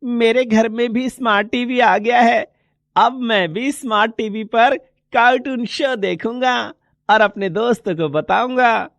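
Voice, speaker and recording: A man's voice: very high voice, unhurried, a bit bright, no echo, voice pushed up, heavily acted. A man voicing a thrilled, boastful little boy in a moral story. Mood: gleeful. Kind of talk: Hindi moral story dialogue.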